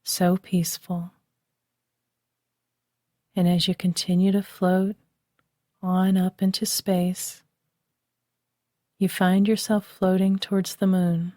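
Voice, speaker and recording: A woman guiding a relaxation meditation, speaking softly and soothingly, recorded dry and close as a voice-over. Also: on the low side, flat delivery, no room sound. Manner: slow, soft and soothing. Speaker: meditation guide.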